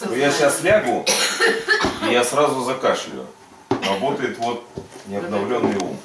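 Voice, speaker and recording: A man's voice: mid-range voice, animated, unhurried. A man working as a faith healing preacher, giving insistent prayer commands and coaching in short, repeated phrases. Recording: echoey room, distant mic, faint noise.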